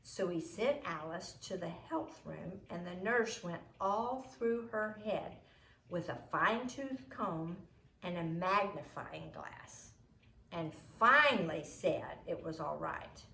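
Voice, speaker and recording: A woman reading a children's book aloud as a storyteller, in an expressive way. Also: lowish voice, takes it slowly, gravelly. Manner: expressive, acts out the dialogue. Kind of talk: storybook read-aloud narration. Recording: roomy echo, noisy home recording.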